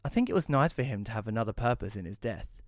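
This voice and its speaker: A man playing a hesitant magazine writer who tells his own story in an audio drama, in a conversational, slightly halting way. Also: fairly low pitch, animated, no room sound, studio quality, natural voice. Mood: relieved. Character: Rory, male magazine writer, hesitant, self-doubting narrator. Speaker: narrator and lead character. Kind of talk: first-person audio drama narration with dialogue.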